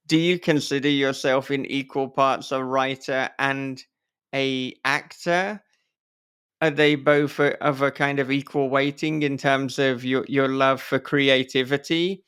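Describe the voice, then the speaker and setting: A man hosting a casual interview podcast, speaking in halting, thoughtful phrases as he talks with a guest. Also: mid-range voice, flat delivery, fairly bright tone, clear, resonant tone.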